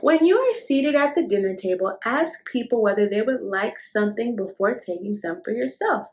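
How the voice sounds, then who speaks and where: A woman reading a picture book aloud to young children, speaking in an encouraging, instructive way and addressing the listener directly. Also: mid-range voice. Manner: encouraging, instructive, asks the listener questions and affirms. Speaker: host of a children's read-aloud show.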